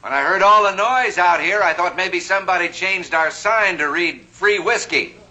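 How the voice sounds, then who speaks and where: A man's voice: sits high, moderate pace, over a noisy crowd. A man narrating a vintage TV drama and playing a flamboyant, genial 1860s banker. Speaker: host and actor. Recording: muffled old TV soundtrack with hiss.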